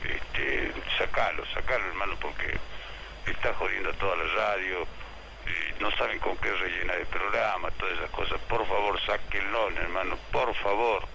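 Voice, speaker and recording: A grumbling Argentine man leaves a complaint in a very low, gravelly voice. His tone has a hard, bright edge, over faint background noise. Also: normal speed, rough recording. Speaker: radio listener leaving a message. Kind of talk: spoken complaint message.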